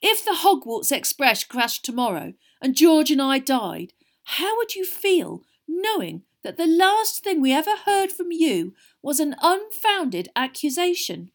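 A woman's voice: high voice, little pitch movement, normal speed, hardly any pauses, pitched above the performer's own voice, close to an everyday voice. A woman voicing a mischievous teenage boy who feigns innocence in a storybook. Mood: mock pained. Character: cheeky teenage twin boys, mischievous and mock-innocent.

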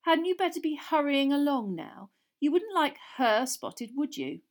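A woman's voice: high voice, sing-song, measured pace, voice pushed up. A woman reading aloud and voicing a sneering teenage schoolboy wizard with a lazy drawl.

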